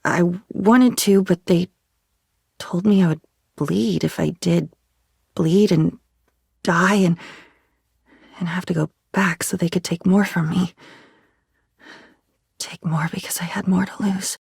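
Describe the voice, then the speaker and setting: A woman's voice: lowish voice, fairly even intonation, slow pace, vocal fry, long pauses, darkish tone, slightly rough, dry recording, clean studio sound, exaggerated voice. An actress playing an unhinged, dreamy woman with a volatile temper who rambles disjointedly in a video game. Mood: fearful, haunted. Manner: disjointed rambling, swinging between wistful and agitated. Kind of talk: video game character voice acting.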